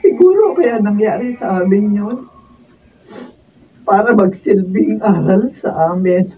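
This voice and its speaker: A woman's voice: mid-range voice, expressive, takes it slowly, slightly rough, recorded from a distance, phone-quality sound. A woman playing a devastated, remorseful wife and mother who lost everything, in an emotional Filipino radio drama style. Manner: emotional, melodramatic delivery. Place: radio drama recording session.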